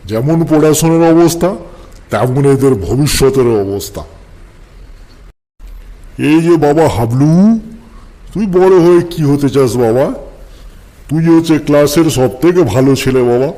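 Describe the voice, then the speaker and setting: Guy doing a grumpy cartoon schoolteacher, scolding and repeating himself, soft mellow tone, bit high. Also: measured pace, pauses often, close to an everyday voice. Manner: scolding, repeats his phrases. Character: exasperated, scolding male village schoolmaster. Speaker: schoolteacher character. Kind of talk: comedy cartoon dialogue.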